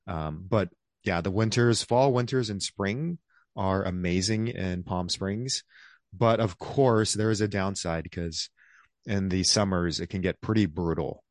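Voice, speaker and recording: A man's voice: sits low, a little slow, no room sound, studio quality. A man in his thirties, a doctor who was born in South Korea and raised around the United States, chatting as a podcast guest in an easygoing, rambling way with lots of fillers. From born in South Korea, raised across the United States. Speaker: guest, physician. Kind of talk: casual interview conversation.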